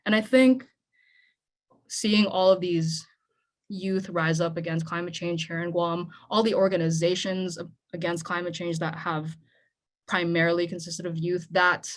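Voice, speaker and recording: A young woman from the Philippines, Tagalog her first language, gives a panel talk. Her voice sits a little low, and she speaks earnestly, often checking in with "right?". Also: fairly even intonation, measured pace. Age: young adult. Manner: earnest, conversational, with frequent "right?". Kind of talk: speech at a panel event.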